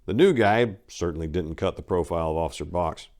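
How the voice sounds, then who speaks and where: Low-pitched man narrating crime fiction. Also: a little fast, a little mellow. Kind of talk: dramatic reading of a crime story.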